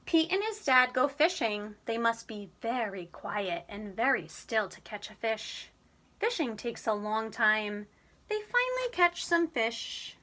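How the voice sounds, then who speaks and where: A woman reads a picture book aloud for young children. Her voice is bright and fairly high, with lively swings in pitch as she tells the story expressively. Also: measured pace, slight background noise. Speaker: storybook reader.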